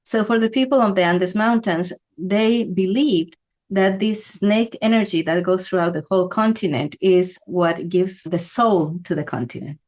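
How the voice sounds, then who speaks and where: A woman's voice: medium pitch, normal speed, darkish tone. Chatty woman teaching with frequent "right?". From Ecuador. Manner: conversational, asks "right?" often. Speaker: feng shui teacher. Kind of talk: explanatory talk to camera.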